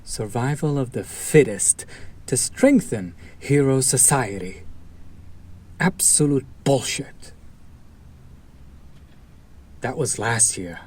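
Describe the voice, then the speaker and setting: A man playing a bitter, protective fallen hero turned villain in a dramatic audio roleplay, speaking in a deliberate, sardonic, theatrical way. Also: medium pitch, expressive, darkish tone, slightly rough. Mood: bitter, impassioned. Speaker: voice actor. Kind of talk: dramatic audio roleplay monologue addressed to listener.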